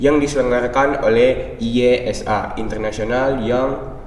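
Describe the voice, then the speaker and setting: A teenage boy, a student guest, answering in a relaxed, chatty way. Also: medium pitch, moderate pace, slight background noise. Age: teens. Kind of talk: casual interview answers. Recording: distant mic in echoey room.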